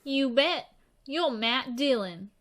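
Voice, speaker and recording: A woman's voice: high-pitched, expressive, slow pace, fairly bright tone, full character voice. A woman voicing a cocky, eager young runaway boy in a western radio drama, boastful and rustic in speech. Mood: starstruck. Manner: rustic, boastful, folksy drawling grammar. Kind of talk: old-time western radio drama acting.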